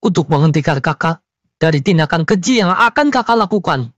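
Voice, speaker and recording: A woman's voice: lowish voice, animated, brisk, dry recording, lo-fi sound. A woman voicing an earnest young policeman and younger brother, imploring and urgent, in a horror cartoon. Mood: earnest, pleading. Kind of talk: melodramatic horror cartoon acting. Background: background music.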